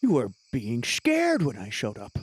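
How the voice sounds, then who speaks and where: Guy doing a grumbly blunt adventurer in chainmail, raspy voice but animated, tabletop game. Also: medium pitch, a little slow, no echo, full character voice. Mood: blunt, teasing. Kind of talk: improvised tabletop roleplay.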